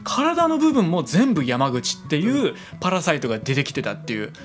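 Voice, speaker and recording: A young man with a Kansai accent co-hosting a radio show with casual, improvised banter and animated storytelling. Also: on the high side, a little fast, soft background music. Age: young adult. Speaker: internet radio co-host. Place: small indoor studio room. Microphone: stand microphone with headphones.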